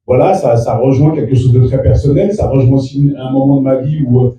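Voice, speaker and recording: A man, a native Fula speaker, answering press questions as an actor in a halting way with many restarts. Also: medium pitch, mellow. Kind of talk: press interview. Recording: echoey room from far off.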